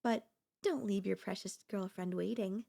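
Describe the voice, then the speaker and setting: A woman's voice: mid-range voice, normal speed, creaky, mellow. A woman playing a doting, possessive girlfriend in an ASMR audio roleplay, speaking in flowery, coaxing endearments with a teasing elegance. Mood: eager. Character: doting, possessive yandere girlfriend, sweetly insistent and theatrical.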